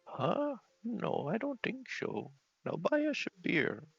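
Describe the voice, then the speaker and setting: A boozy old doctor from a fantasy game, pitched way up and loud. He rambles in a slurred, swooping drawl, and the sound is slightly dull. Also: wildly swooping pitch, slow, vocal fry, slightly rough, no echo, faint music behind, heavily acted. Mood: demanding, tipsy. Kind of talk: video game character voice.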